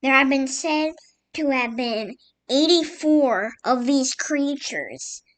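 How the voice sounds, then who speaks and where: A woman with a high voice delivers a mock emergency alert. She reads slowly and formally, halting between phrases like a scripted civil announcement. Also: animated.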